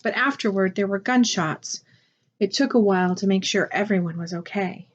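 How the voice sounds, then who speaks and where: Woman reading as a calm, grave father, muffled recording. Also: mid-range voice, moderate pace, close to an everyday voice. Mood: apologetic, reassuring. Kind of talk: picture book read aloud.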